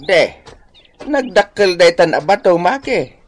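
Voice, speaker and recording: A man's voice: high-pitched, sing-song, measured pace, heavily acted. A man with an Ilocano Filipino accent acting a kindly, grumbling old village man in a radio drama. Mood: hospitable. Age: older.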